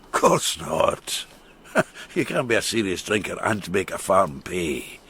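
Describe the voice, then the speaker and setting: An actor in a radio drama playing a boisterous, teasing farmer who is drunk, slurring and halting as he repeats himself. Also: low-pitched, very animated, pushed and bright, slightly rough, exaggerated voice. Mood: jovial, tipsy.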